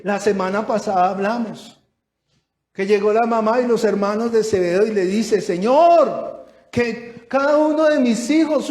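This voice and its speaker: A man's voice: sits high, a little mellow. A male pastor preaching a sermon, asking the congregation questions and raising his voice for emphasis. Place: church hall. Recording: echoey church room.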